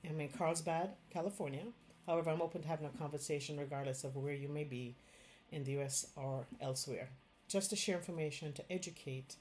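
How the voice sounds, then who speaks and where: Jamaican woman, middle-aged, low and earnest, health practitioner addressing the camera, light noise underneath. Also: a little mellow. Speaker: Chinese medicine doctor. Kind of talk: direct-to-camera health talk.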